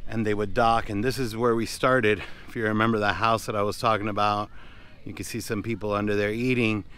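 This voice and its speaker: A man's voice: lowish voice, clear, resonant tone. A man, a boat captain casually narrating a walking tour outdoors and talking straight to his viewers. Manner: relaxed, conversational, addresses viewers directly. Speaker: fishing boat captain and vlogger. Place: outdoors on a small island.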